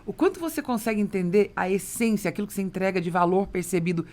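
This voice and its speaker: Fast-talking Brazilian woman hosting a podcast. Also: mid-range voice, animated, darkish tone. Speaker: podcast host. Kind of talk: conversational business podcast interview.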